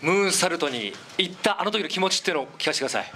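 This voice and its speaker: A man's voice: on the high side, expressive, very fast, pushed and bright, a little hiss or hum. A man politely putting questions as an interviewer at a press session. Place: small backstage press room, indoors.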